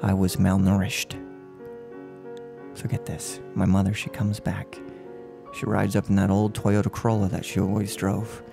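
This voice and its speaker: Man acting creaky-voiced, hushed serial killer. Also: sits low, monotone, over music. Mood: brooding, measured. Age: 20s. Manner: hushed, menacing, mock-polite, deliberate. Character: soft-spoken unhinged serial killer addressing his captive. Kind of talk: ASMR role play monologue. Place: room at home. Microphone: close desk microphone on boom arm.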